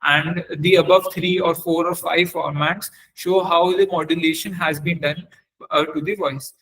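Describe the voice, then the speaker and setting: A man with a somewhat high-pitched voice delivers an explanatory technical lecture. He talks like a webinar trainer walking through slides, with a halting delivery full of fillers and repeated words. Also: fairly even intonation, a bit bright, rough recording.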